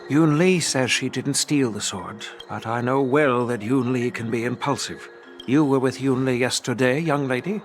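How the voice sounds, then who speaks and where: A man playing a calm, serious authority figure in a video game, composed and courteous. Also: medium pitch, normal speed, faint music behind, lightly acted. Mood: serious, inquiring.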